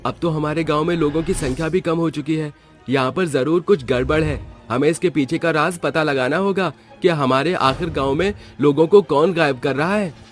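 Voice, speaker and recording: A man's voice: a little high, moderate pace, close to an everyday voice. A man playing an earnest, brave young villager in a cartoon horror story, speaking with urgent drama. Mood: worried, urgent. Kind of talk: Hindi cartoon horror voice acting. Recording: background music under the voice.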